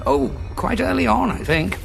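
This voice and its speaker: An actor playing an eccentric, grave time-traveller, theatrical and deliberate, in a sci-fi audio drama. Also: male voice, sits high, expressive, creaky, exaggerated voice, rough recording. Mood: evasive. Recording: music bed behind the dialogue.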